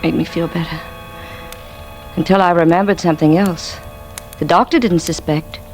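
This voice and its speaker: A woman's voice: on the low side, fairly even intonation, normal speed, a little mellow, close to an everyday voice, poor audio. An actress playing a bitter, scheming wife who confides her crime in a vintage radio drama. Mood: cold, gloating. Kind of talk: 1950s radio drama acting, intimate confessional narration. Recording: vintage radio recording with music underneath.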